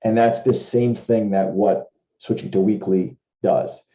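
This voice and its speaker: Low, very mellow man explaining, gravelly. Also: fairly even intonation, unhurried, roomy sound. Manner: explanatory, repeats and rephrases with worked examples. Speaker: trading educator and co-host of a Q&A. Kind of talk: informal explainer talk over a shared screen. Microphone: video call with screen share.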